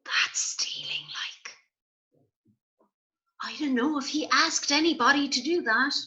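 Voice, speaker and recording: A woman reads a storybook to young children in a high, very animated voice. She goes very slowly and expressively, turning to her listeners with questions and asides. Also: highs cut off. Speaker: primary school teacher reading aloud. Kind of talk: children's story read-aloud with asides to pupils.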